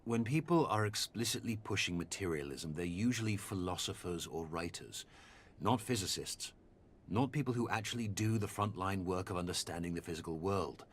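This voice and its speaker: A man playing a measured, earnest researcher who speaks articulately and deliberately in a conversational audio drama. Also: deep voice, lively intonation, a little hiss or hum. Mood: analytical. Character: measured, earnest male researcher debating philosophy.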